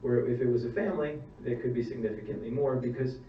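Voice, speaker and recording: A man's voice: medium pitch, fast talker. A man who works as a funeral director, answering audience questions at a seminar in a plain-spoken way, explaining step by step and restarting his sentences. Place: church hall seminar. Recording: distant mic, roomy hall, dull sound, faint hum.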